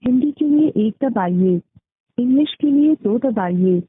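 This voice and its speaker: A woman's automated phone menu voice reading scripted prompts in a formal, measured, instructional manner. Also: fairly high pitch, lively intonation, slightly rough. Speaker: automated phone line announcer. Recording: muffled, phone-like, roomy call playback. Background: phone call recording played back.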